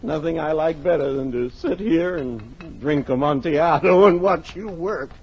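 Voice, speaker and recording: A man's voice: a little high, animated, normal speed, darkish tone. An actor playing a drunken, foolish, giggly Italian nobleman in the theatrical manner of 1940s radio drama. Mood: tipsy amusement. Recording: muffled 1949 radio broadcast.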